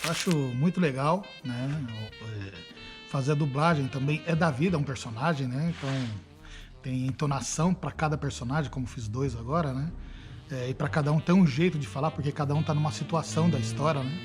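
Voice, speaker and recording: A man's voice: on the high side, fairly quick. A Brazilian man who does voice acting, speaking casually in a making-of interview. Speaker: voice actor. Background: background music.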